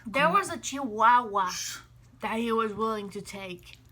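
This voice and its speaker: A woman from Finland co-hosting a vlog in casual chat, telling small stories in an animated way. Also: fairly high pitch, measured pace. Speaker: vlog co-host.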